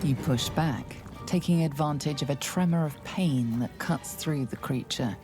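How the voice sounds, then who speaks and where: An actress playing a grave, ominous narrator of a fantasy video game, telling the story in a measured way. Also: female voice, low voice, music in the background. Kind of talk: scripted second-person game narration.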